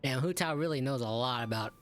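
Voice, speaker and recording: A man's voice: medium pitch, fast talker. Male gameplay reactor: informal, amused, chatty asides over faint game music. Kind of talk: casual live gameplay commentary. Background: soft game music.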